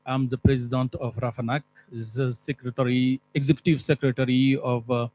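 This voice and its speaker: A man's voice: medium pitch, flat delivery, moderate pace, a little mellow, rough recording. A man from Senegal, an executive secretary, giving a formal introduction and correcting his title as he speaks.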